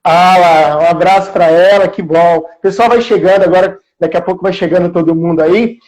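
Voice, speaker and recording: A man's voice: high-pitched, steady tone, a little fast, a little mellow, poor audio. A man with a São Paulo countryside Brazilian accent, chatting in a friendly, informal way as host of a live interview. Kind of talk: casual live interview chat. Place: remote video call livestream.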